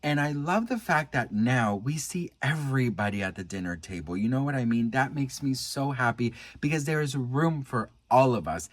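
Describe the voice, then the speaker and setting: An American man talks to his viewers like a vlogger with celebrity news to share. His manner is gushing and earnest, casual and familiar. His voice sits at an average pitch, and the sound is dry with hardly any room in it. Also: dry recording. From United States. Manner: gushing, earnest, addresses viewer as best friend.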